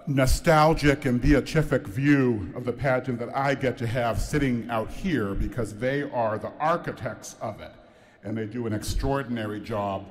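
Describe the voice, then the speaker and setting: A man serving as head of school gives an informal sermon to a church congregation, chatty and joking with people by name. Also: medium pitch. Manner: warm, chatty, jokes with the audience by name. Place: large church sanctuary. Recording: long reverb of a church hall.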